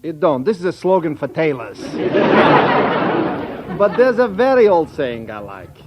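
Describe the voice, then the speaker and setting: Eccentric older professor, highish male voice, playful, jolly, radio comedy, muffled recording. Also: sing-song, unhurried, a loud crowd, very noisy. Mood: impish, jolly. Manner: chuckling, playful, skewed grammar. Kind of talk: old radio sitcom acting.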